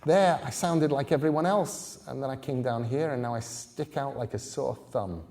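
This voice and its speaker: A man's voice: mid-range voice, normal speed, darkish tone, natural voice. A man voicing a wary, self-conscious teenage girl from Scotland in an audiobook, guarded and plain-spoken. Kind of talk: audiobook character dialogue.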